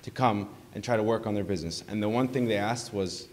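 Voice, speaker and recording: A man in the audience putting a long question to a panel, halting as he thinks aloud. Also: fairly low pitch, a little mellow. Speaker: audience member asking a question. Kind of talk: extended question at a panel discussion. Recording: reverberant conference hall.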